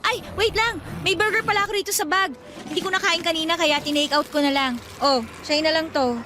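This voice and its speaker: A woman's voice: very high voice, fairly even intonation, brisk, sharp tone, slight background noise. An actress playing a pushy, eager young woman, chatty and playfully insistent, in a Filipino radio drama. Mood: helpful.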